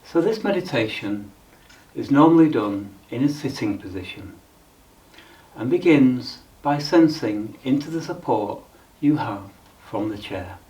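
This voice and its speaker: A man leading a guided meditation in a calm, measured, instructional way with frequent pauses. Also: mid-range voice, far from the mic, rough recording.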